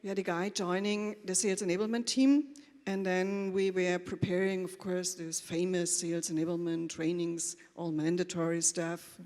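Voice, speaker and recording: A woman telling her story informally and a little haltingly as a panelist, with quoted asides. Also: fairly low pitch, flat delivery, darkish tone. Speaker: panelist, corporate trainer. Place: conference hall, before an audience. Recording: echoey hall with long reverb.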